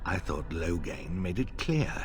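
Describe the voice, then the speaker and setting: An actor playing a cruel, bitter noble villain in a video game, mocking, theatrical and deliberate. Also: male voice, low-pitched, big pitch swings. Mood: cruel, taunting. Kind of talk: video game cutscene acting.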